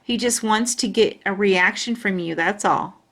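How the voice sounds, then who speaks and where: A woman voicing a caring, patient mother in a storybook, coaxing and questioning gently. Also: medium pitch, measured pace. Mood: reassuring, coaxing.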